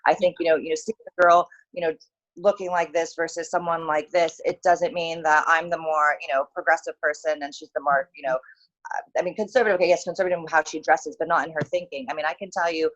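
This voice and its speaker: A woman from Saudi Arabia, a guest in a video-call interview, talking in animated bursts with frequent laughs and fillers. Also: low-pitched, highs cut off. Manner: animated, laughs often, talks in quick bursts with many fillers. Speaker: interview guest, author and consultancy founder.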